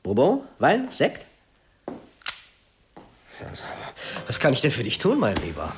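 A man's voice: mid-range voice, huge pitch swings, measured pace, slight background noise. A man acting in a German radio drama as a talkative, boastful American gangster boss with a jovial, swaggering manner.

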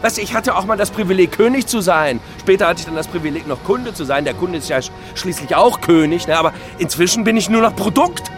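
A man's voice: sits high, expressive, fast talker, few pauses, over music. An actor playing an aggrieved middle-aged man in a theatrical monologue, whining and stammering in indignant, self-pitying questions. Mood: indignant, bewildered.

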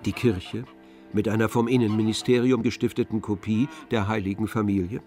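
A man narrating a literary radio drama from a script in a measured, descriptive way. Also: on the low side, steady tone, warm tone, soft background music.

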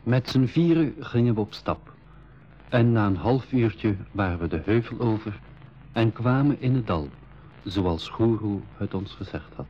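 An actor narrating his own adventure in a school radio drama, with a measured storytelling delivery. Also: fairly low pitch, animated, highs cut off, slight background noise. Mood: composed. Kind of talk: scripted first-person radio drama narration.

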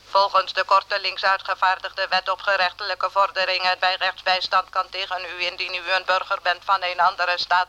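A man in a radio play acting an impersonal, rigidly formal court machine reading out a legal notice in a monotone. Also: high-pitched, smooth, low-quality recording.

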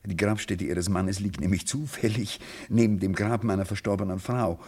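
Timid, husky, stammering civil servant. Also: male voice, low-pitched, fairly even intonation, normal speed, darkish tone. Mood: sheepish, reluctant. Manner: hesitant, stammering, hedging, apologetic. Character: timid, evasive widowed male civil servant. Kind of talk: German radio play acting.

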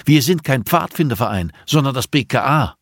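A man voicing a stern, merciless police investigator in an audiobook, forceful, clipped and menacing. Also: mid-range voice, animated, normal speed, no room sound, studio quality, voice pushed up.

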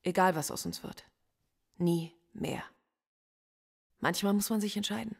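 An actress playing a lonely, defiant philosophy professor under police interrogation in a radio play, speaking quietly and in measured phrases. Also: female voice, fairly low pitch, expressive, creaky, slightly rough, exaggerated voice. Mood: guarded. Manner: quiet, measured, often hushed and introspective.

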